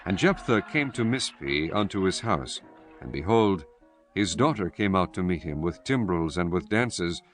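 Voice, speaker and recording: Deep-voiced male scripture narrator. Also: expressive, normal speed. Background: music.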